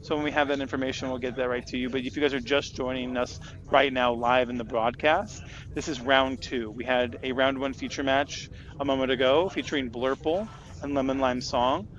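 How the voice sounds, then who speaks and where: A man calling a live card game tournament, his voice loud and projecting in a casual, chatty way. The sound is a little dull, as if the mic cuts off the top end. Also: mid-range voice, fairly even intonation, a little fast. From Southern California. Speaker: tournament stream commentator.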